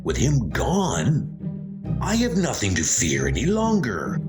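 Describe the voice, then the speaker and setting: A man's voice: mid-range voice, huge pitch swings, slow pace, over loud music. A man playing a scheming, pompous heir and murderer, theatrical and gloating, in a comic ghost story dub. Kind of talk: comic dub horror acting.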